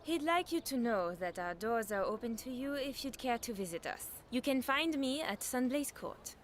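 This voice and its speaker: An actress playing a discreet, formal envoy of a magic school in a fantasy game, measured and diplomatic. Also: female voice, on the high side, expressive, slight background noise, natural voice. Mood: formal, gracious. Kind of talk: scripted fantasy game cutscene acting.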